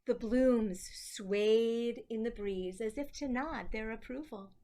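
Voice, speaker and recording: A woman reading a children's story aloud with deliberate phrasing and dramatic emphasis. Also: a little high, mellow.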